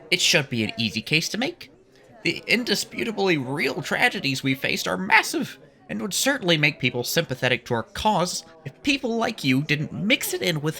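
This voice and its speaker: An actor playing an indignant, principled activist arguing emphatically in an audio drama. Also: male voice, a little high, creaky, bright, forceful tone, heavily acted. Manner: emphatic, argumentative. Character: indignant, principled alien-rights activist, sticks to facts.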